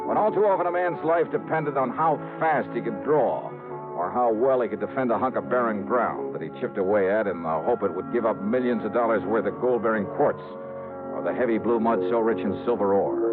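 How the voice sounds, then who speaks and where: Male noir detective lead, acted: wry, punchy, lively intonation, mid pitch; phone-thin vintage radio sound. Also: phone-quality sound, lightly acted. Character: hard-boiled, wry male insurance investigator. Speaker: lead and narrator. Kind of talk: radio noir narration and dialogue, 1950s-60s acting.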